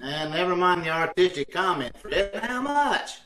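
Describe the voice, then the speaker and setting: A man acts out an indignant, scheming husband from an old radio comedy. His bright, sharp voice blusters and exclaims, tripping over words. It sounds roomy and distant, as on a home video call. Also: high-pitched, very animated, moderate pace, exaggerated voice. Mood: impatient.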